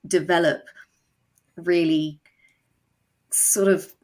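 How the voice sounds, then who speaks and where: A woman's voice: lowish voice, steady tone, very slow, darkish tone. A woman talking as an interview guest about her creative work, explaining conversationally with wry, dry humour. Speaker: guest, audio drama co-creator.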